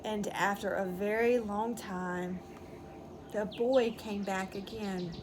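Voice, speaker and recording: A woman's voice: medium pitch, steady tone, slow, noisy background. A woman reading a story aloud to young children as a teacher would, explaining and asking simple questions.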